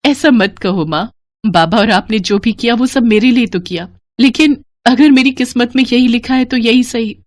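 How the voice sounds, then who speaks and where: A woman voicing a bright, dutiful young village daughter in an audio story, eager and sweet. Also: a little high, moderate pace, voice pushed up, natural voice. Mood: resigned, dutiful.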